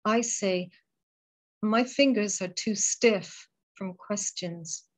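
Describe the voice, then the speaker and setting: A woman poet reading her poems aloud and talking between them, in a measured, deliberate way with pauses between phrases. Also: mid-range voice. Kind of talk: poetry reading.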